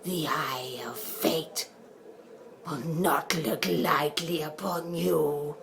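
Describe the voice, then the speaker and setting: Spooky veiled prophet lady, super slow and croaky, breathy cryptic warnings, radio drama. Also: low voice, fairly even intonation, a little hiss or hum, full character voice. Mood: ominous. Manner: cryptic, portentous, archaic formal phrasing. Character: veiled mysterious woman uttering ominous prophetic warnings. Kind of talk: audio drama acting.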